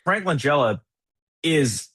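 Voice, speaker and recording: A man's voice: on the high side, animated, slow pace, a bit bright, husky, dry recording. A man co-hosting a movie podcast, talking casually and thinking aloud in slangy, conversational phrases. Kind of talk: casual chat, film discussion.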